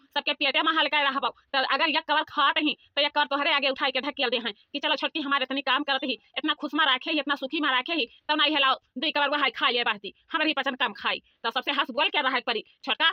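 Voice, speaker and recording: Cartoon elderly mother-in-law , played by a woman: high voice, Awadhi accent, repetitive nagging advice, muffled lo-fi audio. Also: no echo, natural voice. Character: bossy, coaxing elderly village mother-in-law. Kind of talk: comic cartoon dialogue.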